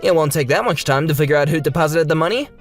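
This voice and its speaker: A man's voice: on the high side, quick pace, lightly acted. A man voicing a friendly, self-assured hacker tutor with a breezy manner in a comic dub. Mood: confident. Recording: music bed behind the voice.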